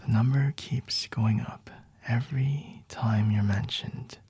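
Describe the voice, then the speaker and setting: A man voicing a smug, flirty vampire lord in an intimate ASMR roleplay, breathy and husky, often whispering, with a teasing, condescending, refined manner. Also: medium pitch, takes it slowly, warm tone, no echo. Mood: teasing, dry.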